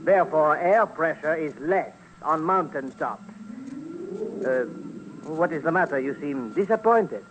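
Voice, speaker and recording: An actor playing a patient, wry Frenchman in a radio drama, deliberate and indulgent in manner. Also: a little high, animated, background noise. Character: patient, wry French brother-in-law climbing mountains.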